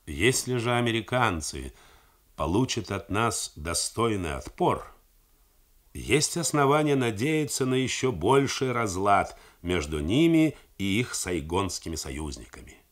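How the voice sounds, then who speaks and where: A man reading the part of a calm, deliberate army general who reasons things through steadily. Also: on the low side. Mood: reasoning. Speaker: army commander.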